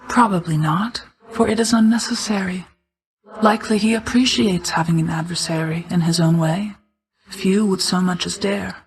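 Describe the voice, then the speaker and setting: A woman voicing a solemn, regal night goddess and protective mother figure in a video game, speaking in a formal, measured, restrained way. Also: mid-range voice, natural voice, rough recording. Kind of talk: scripted video game character dialogue.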